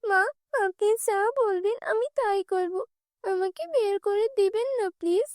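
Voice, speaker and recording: A woman's voice: very high-pitched, fairly even intonation, a little slow, darkish tone, no room sound, clean studio sound, pitched above the performer's own voice. A woman voicing a meek, mistreated young stepdaughter in a cartoon, timid and earnest. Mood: pleading.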